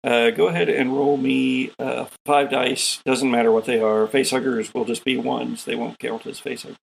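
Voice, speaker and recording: A man's voice: mid-range voice, steady tone, moderate pace, creaky, a little hiss or hum, rough recording. A man running a tabletop sci-fi horror game as host, narrating scenes and calling for dice rolls.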